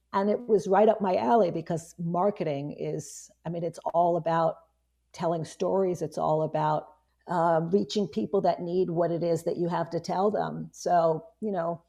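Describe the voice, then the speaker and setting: Hoarse, low-voiced woman in her fifties. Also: fairly even intonation, normal speed. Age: 50s. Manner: rambling, warm laughs, many restarts. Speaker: guest, online marketing strategist. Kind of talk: casual interview chat.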